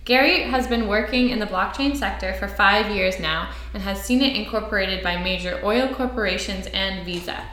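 A woman's voice: medium pitch, moderate pace, few pauses, a bit bright. A woman hosting a podcast, introducing her guest and asking him questions in an upbeat way. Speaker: podcast host. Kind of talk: interview hosting. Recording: echoey room.

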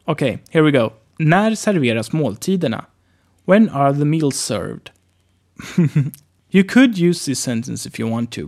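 A man teaching a casual spoken language lesson, relaxed and chatty, repeating phrases slowly. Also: on the high side.

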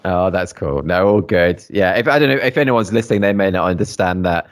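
A man's voice: low-pitched, expressive, brisk. Upbeat man hosting a quiz chat. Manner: upbeat, laughs often, praising. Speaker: host. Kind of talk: casual chat, quiz game.

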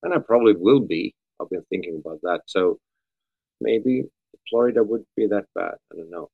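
A man raised in Austria talks as a podcast guest with a slightly low voice. He rambles and jokes, restarting his sentences as he goes. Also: lively intonation, normal speed, gravelly, breathy edge, narrow, tinny audio. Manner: chatty, joking, restarts often. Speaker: guest musician. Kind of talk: casual podcast chat.

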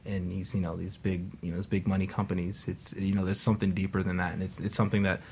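A man's voice: sits low, flat delivery, quick pace, a little mellow, breathy edge. A man on a talk radio panel, rambling casually with lots of fillers. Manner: rambling, full of "you know", joking.

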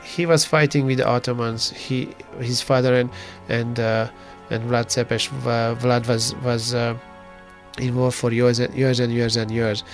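Halting Transylvanian man. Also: medium pitch, little pitch movement, faint music behind. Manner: halting, many fillers and restarts. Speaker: interviewee. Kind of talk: casual conversational storytelling.

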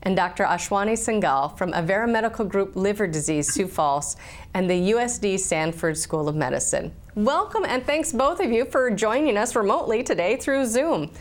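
Woman, health show host, friendly, steady, mid-pitched. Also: moderate pace. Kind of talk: hosting a medical interview.

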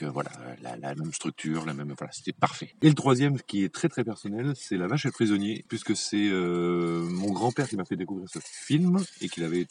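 A man in his forties giving a plain, straightforward account of his favourite films. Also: deep voice. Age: 40s. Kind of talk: recorded testimony.

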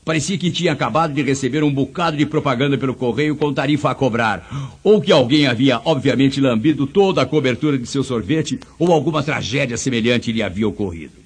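A man's voice: medium pitch, a little fast, husky. A man with a Brazilian accent giving an emphatic motivational speech, telling anecdotes and posing rhetorical questions. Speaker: motivational speaker.

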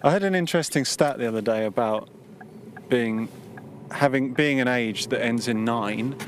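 A man's voice: mid-range voice, moderate pace. A man, a teacher chatting casually and teasing his co-hosts. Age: 30s. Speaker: podcast host, teacher. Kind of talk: casual chat. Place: inside a car. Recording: noisy car interior.

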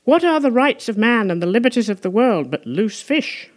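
A woman narrating a literary audiobook in a measured, rhetorical manner. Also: fairly high pitch, big pitch swings. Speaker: audiobook reader.

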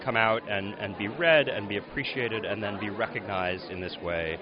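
A man's voice: on the low side, moderate pace. A man, an author, answering a question at an awards dinner in a hesitant, thoughtful way with many ums. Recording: dull audio in a noisy crowd.

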